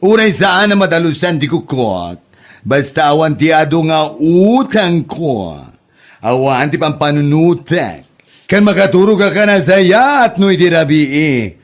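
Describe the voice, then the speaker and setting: A man acting an indignant, sharp-tongued gossiping farmer in an Ilocano comedy drama, with an Ilocano accent and a scolding, exclamatory manner. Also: a little high, animated, measured pace.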